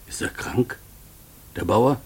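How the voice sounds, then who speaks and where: An actor playing a plain, puzzled farmhand in a German radio play. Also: male voice, slow pace, slight background noise, lightly acted.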